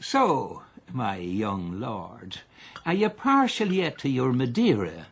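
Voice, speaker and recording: A stout old-rogue Irish captain in a radio play. He drawls slowly in a gravelly, husky voice, hearty and ingratiating, with lively rises and falls. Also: mid-range voice, slight background noise, exaggerated voice. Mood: genial, sly. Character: stout, shabby, sly genial Irish captain, a card sharp. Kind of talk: radio drama acting.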